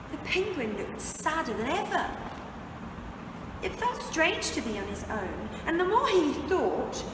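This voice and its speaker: A woman reading a children's storybook aloud expressively, with dramatic phrasing and deliberate pauses for young listeners. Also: sits high. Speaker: English teacher reading a picture book aloud. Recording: echoey, reverberant room, distant mic, noisy background.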